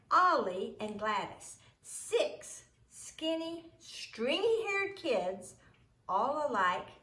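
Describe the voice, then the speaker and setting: A woman reading a story aloud expressively, with deliberate, emphatic phrasing. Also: medium pitch, fairly bright tone, roomy sound.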